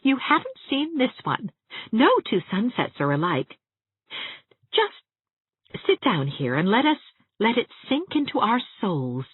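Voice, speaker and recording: A woman voicing a warm, outspoken young woman with an earnest, gushing way of talking, in an audiobook. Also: a little high, huge pitch swings, measured pace, slightly rough, no echo, phone-quality sound, voice pushed up, heavily acted. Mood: rapturous. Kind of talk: audiobook character acting.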